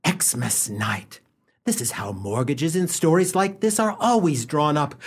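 Radio storyteller , played by a man: unhurried, florid, mock-solemn, easily exasperated comic delivery. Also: on the high side, slightly rough, heavily acted. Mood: melodramatic. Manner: theatrical, mock-grandiose, addressing the listener. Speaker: narrator.

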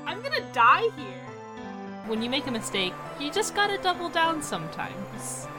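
Woman, tabletop game player, playful chat, extremely high pitch, loud music behind. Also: very animated, normal speed.

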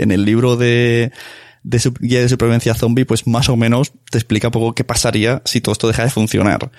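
A man asks questions as an interviewer, loose and rambling in casual talk. His pitch is medium and the sound is very dry and close. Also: darkish tone, no echo. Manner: rambling, informal. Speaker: interviewer, podcast host.

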